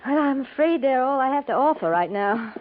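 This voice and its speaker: An American actress playing a chatty, easily riled young schoolteacher bride with folksy, earnest speech in a 1950s radio drama. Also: female voice, high-pitched, lively intonation, brisk, a little hiss or hum. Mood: friendly. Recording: tinny, narrow-band 1950s radio recording.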